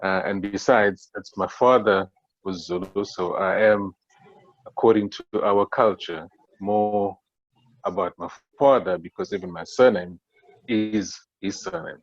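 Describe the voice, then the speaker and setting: A South African man speaking on a panel, reflective and halting, with many fillers and restarts. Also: lowish voice, lively intonation, dry recording, dull audio. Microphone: video call.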